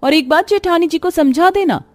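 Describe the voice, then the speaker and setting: A woman's voice: extremely high pitch, moderate pace, dry recording, faint music behind, voice pushed up. A woman voicing a haughty, mocking rich young sister-in-law in a cartoon family drama, boastful and sneering. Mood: angry, scornful. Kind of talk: Hindi animated family melodrama.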